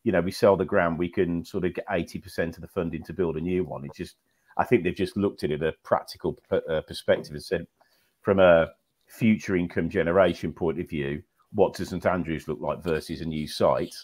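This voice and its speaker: A man with a very low voice joins a casual fan podcast over a video call. He rambles, restarting sentences and leaning on filler phrases. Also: steady tone, brisk, darkish tone. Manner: rambling, repeats words, many "you know"s. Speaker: podcast panelist. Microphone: video call with earphones.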